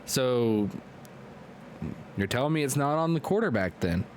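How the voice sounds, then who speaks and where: A man hosting a live stream and excitedly calling play-by-play sports commentary while losing his voice from a clogged nose. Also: mid-range voice, slight background noise. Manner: excitable, hyped play-by-play with quick asides to chat. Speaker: league commentator and stream host.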